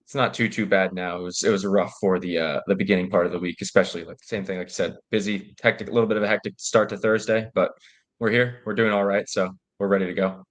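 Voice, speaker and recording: A young adult man co-hosting a casual sports betting chat, nasal from a cold, explaining things in a relaxed way with many restarts. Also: low-pitched, fast. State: nasal from a cold, slight cough. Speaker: co-host.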